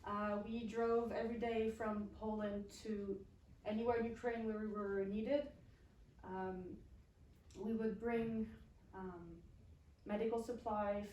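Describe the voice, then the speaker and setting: A young woman from Switzerland speaks English as a second language in a halting, pausing way. She gives a personal account in a higher-pitched voice. The recording is very roomy and distant from the mic. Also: mellow, a little hiss or hum. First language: not English. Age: young adult. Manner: halting, pausing mid-phrase. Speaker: university student speaker. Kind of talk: personal account to an event audience. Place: indoor event room at a college.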